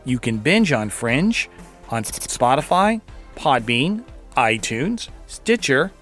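A man's voice: medium pitch, sing-song, slow pace, a bit bright, soft background music. A man reading a scripted sponsor promotion as an announcer.

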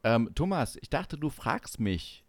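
A man with a medium-pitched voice, recorded close and dry. He hosts a casual, comic podcast chat and often halts as he searches for the right word. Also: very animated, a little slow, no room sound. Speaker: podcast host.

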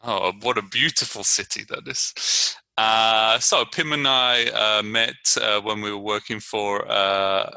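Gravelly man hosting a podcast. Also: fairly low pitch, slow pace, few pauses, no echo. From Brighton, England. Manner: relaxed, filler-heavy questions. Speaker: podcast host.

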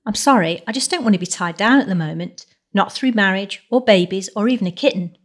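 A woman voicing a gentle, reluctant young man, an earnest and apologetic boyfriend in a novel. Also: mid-range voice, fairly quick, natural voice.

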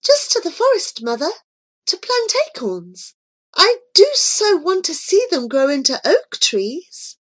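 An earnest young girl in a storybook, devoted and anxious, her voice high and pleading, played by a woman reading aloud. Each line rises and falls widely and comes out slow and careful. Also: sing-song, a bit bright, heavily acted.

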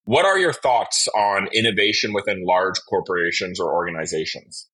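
A man's voice: sits low, moderate pace, pushed and bright, breathy edge. A man hosting a podcast interview in a conversational style. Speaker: podcast host.

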